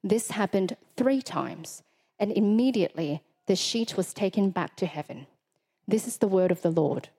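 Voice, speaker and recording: A woman reading scripture aloud in church in a measured, formal way. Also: mid-range voice, lively intonation, dark tone. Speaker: scripture reader.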